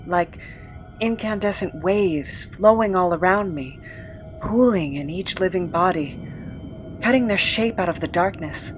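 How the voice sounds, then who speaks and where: A woman's voice: lowish voice, a little slow, faint music behind, close to an everyday voice. A woman playing an uneasy captive searching their memory in an audio drama, casual, hesitant and wary. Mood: hesitant, recalling.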